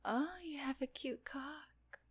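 A woman playing a slow, soft-spoken teasing nurse. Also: high-pitched. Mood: teasing, coaxing. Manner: soft, often whispered, teasing and coaxing. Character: teasing, doting adult female nurse. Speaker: voice actress in an audio roleplay. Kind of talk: intimate audio roleplay.

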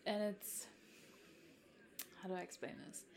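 Slow, gravelly-voiced giggly young woman. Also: mid-range voice, level tone, a little hiss or hum. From South Africa. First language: Afrikaans. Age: 20s. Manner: giggly, hesitant, soft-spoken with whispered asides. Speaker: content creator addressing a follower. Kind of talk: roleplay chat to the listener.

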